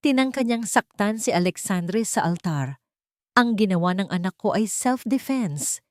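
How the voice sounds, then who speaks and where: A woman's voice: medium pitch, moderate pace, dry recording, studio quality, pitched above the performer's own voice, lightly acted. Woman voicing a cold, commanding rich matriarch. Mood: calculating. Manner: calm but cutting, imperious.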